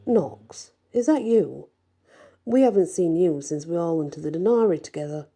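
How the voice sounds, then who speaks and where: A woman's voice: fairly low pitch, lively intonation, moderate pace, warm tone. A woman voicing a rival male werewolf pack leader in a storybook, a blunt, taunting bully who is a coward underneath. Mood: surprised.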